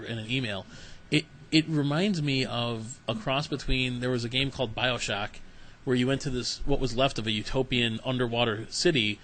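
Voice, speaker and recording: A man's voice: medium pitch, a bit bright, a little hiss or hum. A man hosting a podcast interview, chatting casually and rambling, often repeating words. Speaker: podcast host.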